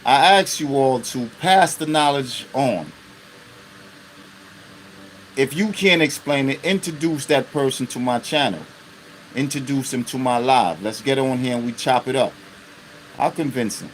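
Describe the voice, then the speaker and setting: A man's voice: medium pitch, a little slow, long pauses, a little hiss or hum, low-quality recording. A man in his fifties hosting an unscripted live stream, talking bluntly and profanely and often checking in with his listeners. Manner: blunt and profane, with constant rhetorical check-ins.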